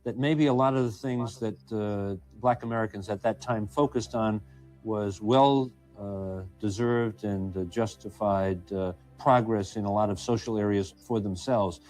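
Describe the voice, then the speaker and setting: Measured male interviewer. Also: fairly low pitch, steady tone, a little mellow, soft background music. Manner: measured, hesitant questioning. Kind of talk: archival TV interview.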